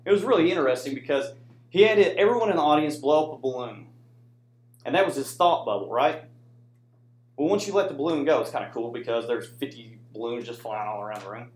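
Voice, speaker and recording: American guy doing a casual class presentation, chatty with the audience, average pitch, pretty echoey room. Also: fast. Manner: conversational, addresses audience with frequent "right?" tags. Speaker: student presenter, soldier. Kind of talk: informal classroom presentation with slides.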